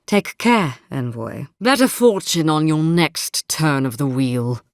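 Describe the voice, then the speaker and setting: Stern, low-voiced imperial captain. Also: female voice, animated, no echo, studio quality, full character voice. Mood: cool, condescending. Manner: formal, clipped, deliberate wording. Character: stern imperial military captain woman, haunted by visions. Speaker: video game voice actor. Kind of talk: scripted game character dialogue.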